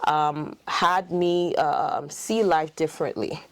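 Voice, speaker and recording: A woman's voice: low voice, fairly even intonation, slow pace, dry recording. A middle-aged Haitian woman whose first language is French, speaking in a Haitian French accent as a dentist and clinic owner in a casual interview, telling her story with many fillers and restarts.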